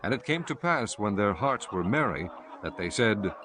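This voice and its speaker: A man's voice: lowish voice, expressive, moderate pace, background noise. A man giving a scripted narration of scripture as narrator of an audio drama.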